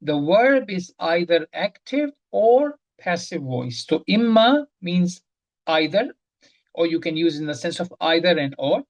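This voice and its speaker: A woman's voice: fairly low pitch, big pitch swings, measured pace, low-quality recording. A woman teaching an online Arabic grammar lesson, explaining each point step by step, repeating key terms and quizzing her students. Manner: quizzes the class directly.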